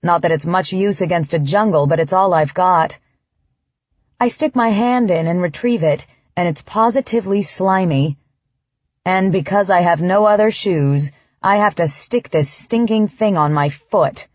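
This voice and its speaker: A woman reading first-person fiction as an audiobook narrator, in an expressive, dramatized way. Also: fairly low pitch, unhurried, dry recording, muffled recording.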